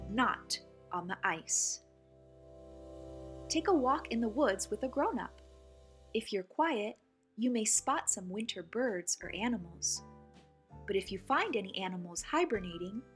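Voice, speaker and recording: Female children's read-aloud host, expressive and slow-paced, mid-pitched voice with animated melody. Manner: animated, clearly phrased delivery for young children. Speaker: children's story reader and host.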